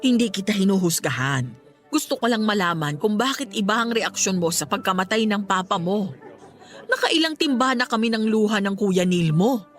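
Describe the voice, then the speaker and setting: Actress doing concerned mom in a radio drama, gently scolding, middle-aged, faint music behind. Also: female voice, medium pitch, expressive, moderate pace, natural voice. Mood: exasperated, questioning. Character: concerned mother, gently reproaching her withdrawn grown child. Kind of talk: Tagalog radio drama dialogue.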